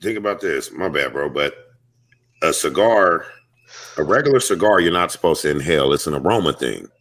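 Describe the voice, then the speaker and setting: A man's voice: very deep voice, animated, fairly quick, fairly bright tone. A man talking in slangy casual chat, repeating himself as he makes a point. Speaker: panelist.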